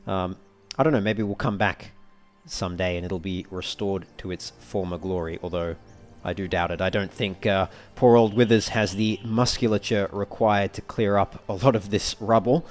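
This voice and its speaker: A man giving casual let's play commentary as a walkthrough host, explaining things in a measured, wordy, slightly formal way. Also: sits low, fairly even intonation, faint music behind.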